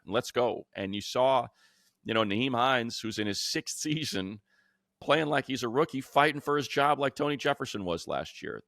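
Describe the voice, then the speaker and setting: A man's voice: deep voice, fairly bright tone, no echo, clean studio sound. An American man co-hosting a sports talk show, giving unscripted, emphatic analysis in colloquial language. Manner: emphatic, colloquial, acts out imagined dialogue. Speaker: sports podcast co-host and play-by-play announcer.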